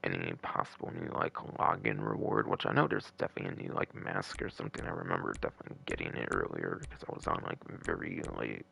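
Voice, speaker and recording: Gravelly, raspy man rambling on stream. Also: very deep voice, fast, hardly any pauses, pushed and bright. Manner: rambling, repetitive, casually profane. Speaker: streamer hosting a gaming live stream. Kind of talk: casual off-the-cuff stream commentary. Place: a room at home.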